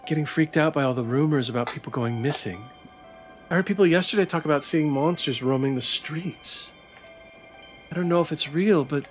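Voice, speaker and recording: A man plays a worried young woman researcher in a visual novel, weary and hesitant. The voice is lifted in pitch, with music underneath. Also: lightly acted. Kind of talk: visual novel character reading. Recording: music behind the voice.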